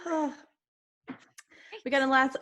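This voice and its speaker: A woman's voice: sits high, fairly even intonation, pushed and bright. A woman co-hosting a live podcast, joking and laughing through playful banter. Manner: playful, quick to laugh and tease. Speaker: podcast co-host.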